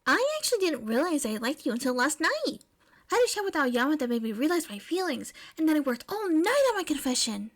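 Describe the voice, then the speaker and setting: A woman's voice: sits high, very animated, a little fast, pitched above the performer's own voice. A woman voicing a blunt, eager teenage girl inventor in love, bubbly and emphatic, in a fan fiction audio reading. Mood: earnest.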